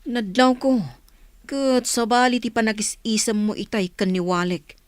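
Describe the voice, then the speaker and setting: A woman's voice: fairly high pitch, normal speed, dry recording, close to an everyday voice. A woman acting a frank, reluctant young confidant in an Ilocano radio drama, with an Ilocano accent. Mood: questioning.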